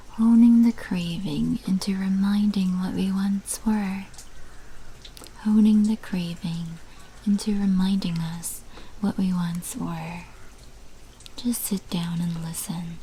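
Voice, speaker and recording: A woman voicing a sly female vampire mafia manager in an ASMR roleplay, mostly in a breathy whisper with slow, theatrical delivery. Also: fairly low pitch, dark tone, a little hiss or hum, low-quality recording.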